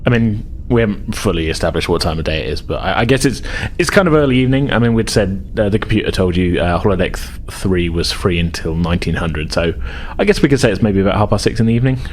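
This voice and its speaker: A man acting as game master, narrating a tabletop game casually in a halting, explanatory way. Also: low voice, expressive, slight background noise.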